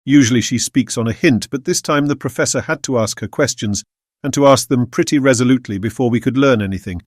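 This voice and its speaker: An earnest Victorian gentleman reads from his diary in a British accent voiced by a male actor. His mid-pitched voice is measured and solemn, in a close, dry audiobook recording. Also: dry recording, lightly acted. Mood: solemn, anxious. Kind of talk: scripted dramatic audiobook narration.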